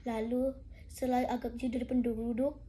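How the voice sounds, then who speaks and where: A young woman narrating a folktale as a contestant, in a deliberate, theatrical way. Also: fairly high pitch, flat delivery, mellow, a little hiss or hum. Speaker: storytelling contestant.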